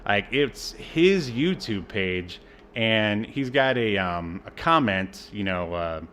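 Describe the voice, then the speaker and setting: Low-pitched man rambling casually about films. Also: expressive, slow, a bit bright, slight background noise. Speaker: podcast co-host. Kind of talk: casual film discussion chat.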